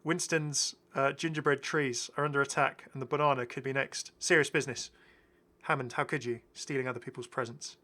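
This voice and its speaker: A man's voice: mid-range voice, fairly even intonation, fairly quick, bright, forceful tone. A man hosting a gaming video with casual, enthusiastic and chatty commentary. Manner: chatty, prone to chuckling asides.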